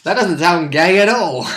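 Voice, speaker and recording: A man with a British accent and a fairly high, bright voice, recapping a tabletop game in casual chat. He is jokey and sweary, often putting words in the characters' mouths.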